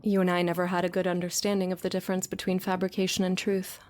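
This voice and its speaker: A woman's voice: lowish voice, completely flat delivery, fast talker, a little mellow, natural voice. A woman acting in a conversational stage drama, playing a guarded, sceptical former lover with a blunt, dry, sparring manner. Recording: faint background noise.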